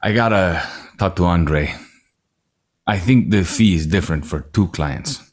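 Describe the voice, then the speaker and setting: A man's voice: low voice, unhurried. A man playing a flustered, reluctant male escort in a screenplay table read, speaking hesitantly with stammers. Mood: flustered, protesting.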